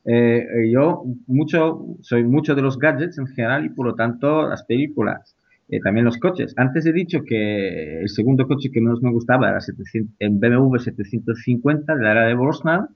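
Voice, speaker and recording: Man guest, hesitant, lots of fillers, mid pitch, dull lo-fi audio. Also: moderate pace. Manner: hesitant, many fillers and restarts. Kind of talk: casual discussion. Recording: muffled lo-fi audio.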